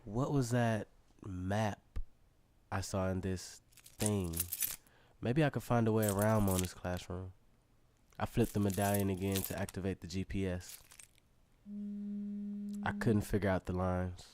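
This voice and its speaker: A man's voice: deep voice, steady tone, measured pace, pauses often, a little mellow, a little hiss or hum. An actor playing an eager young student who narrates his own story earnestly in a radio drama. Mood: curious, determined. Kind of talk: scripted first-person radio drama narration.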